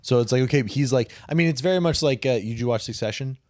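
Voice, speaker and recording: A man's voice: medium pitch, quick pace, darkish tone, no echo, studio quality. A man co-hosting a podcast, talking over Bible stories in loose casual chat, full of "like"s and trailing restarts. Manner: rambling, with many "like"s and trailing restarts. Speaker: podcast co-host.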